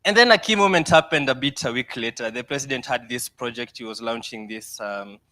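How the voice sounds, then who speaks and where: A young Kenyan man, a startup founder, giving a lively, self-deprecating mentorship talk to students with slides. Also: mid-range voice, fairly quick, no room sound. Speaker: startup founder guest speaker.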